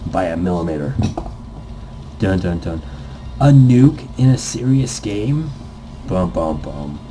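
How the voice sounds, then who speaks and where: A man's voice: low-pitched, big pitch swings, takes it slowly, mellow, a little hiss or hum. A man hosting a let's-play, reading a visual novel aloud and adding playful, goofy improvised asides in a casual gaming commentary style.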